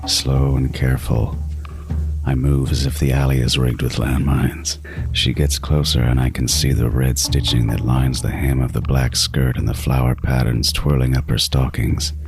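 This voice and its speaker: An actor playing an obsessive, predatory serial killer narrating a horror audio drama, brooding and intense, slipping into whispers. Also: male voice, very deep voice, flat delivery, moderate pace, creaky, over music.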